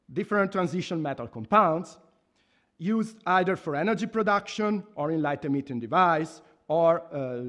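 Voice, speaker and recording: A man's voice: a little high, a bit bright. A man in his thirties from Italy with an Italian accent, earnestly reading a formal laudation from a lectern in a university hall. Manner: earnest, measured, with restarts and emphatic pauses. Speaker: professor giving a laudation speech. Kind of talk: formal speech read from notes. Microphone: microphone on a stand at a lectern.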